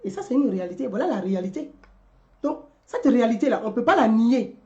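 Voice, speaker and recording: A woman's voice: fairly high pitch, lively intonation, quick pace, a little mellow, highs cut off. A woman, delivering a rhetorical political commentary and repeating her questions. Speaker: commentary vlogger.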